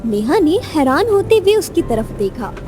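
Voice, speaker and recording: A woman narrating a scripted romantic story as an expressive storyteller. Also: high voice, moderate pace, soft background music.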